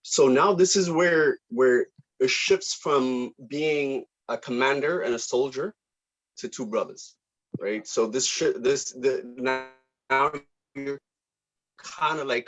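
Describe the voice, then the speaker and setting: Husky man giving casual directing notes. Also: mid-range voice, measured pace, a bit bright, highs cut off. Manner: casual, thinking aloud. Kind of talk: informal directing notes to actors.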